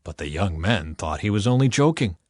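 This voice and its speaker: Animated male narrator, dry sound. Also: low-pitched, a little fast, no room sound. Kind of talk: expressive scripted audiobook narration.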